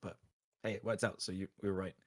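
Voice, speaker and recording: A man talks through card game strategy in a fairly low voice that sounds slightly husky and worn. His delivery is casual and analytical, as if thinking aloud while he coaches a live stream. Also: level tone, fast. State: tired voice going hoarse.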